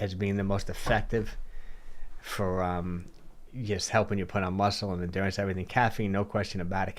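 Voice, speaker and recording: A middle-aged man hosting a livestream, a little hoarse from a cold, answering viewer questions in a rambling, casual way and often repeating himself. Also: fairly low pitch. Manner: rambling, repeats words, restarts sentences.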